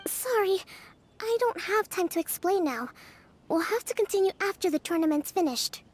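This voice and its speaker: A woman playing a composed, gentle young priestess in a video game, polite and measured in her wording. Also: extremely high pitch, animated. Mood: thoughtful. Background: music.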